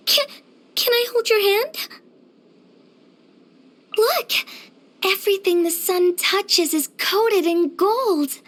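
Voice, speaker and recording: A woman playing a cheerful, easygoing young craftswoman in a video game, with a gentle, chirpy, earnest manner. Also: very high-pitched, full character voice. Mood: shy, then bright, wondering. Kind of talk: video game character voice acting.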